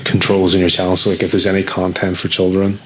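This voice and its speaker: Brief: man, lowish voice, easygoing podcast banter, faint background noise. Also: completely flat delivery, quick pace, a bit bright, poor audio. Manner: laid-back, chuckling. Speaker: podcast co-host. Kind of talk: casual banter. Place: podcast studio.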